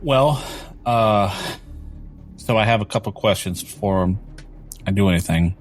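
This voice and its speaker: A man's voice: fairly low pitch, unhurried, faint music behind. A man playing a tabletop game, talking casually and thinking his moves through aloud with some hesitation.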